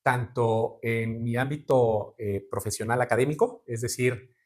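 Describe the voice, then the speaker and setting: A Mexican man in his forties explains his career in a formal, measured way. He pauses on fillers and often closes a thought with a tag question. His pitch sits in a middle range. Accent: Mexican Spanish. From Veracruz, Mexico. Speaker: judicial candidate guest. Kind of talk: interview answers.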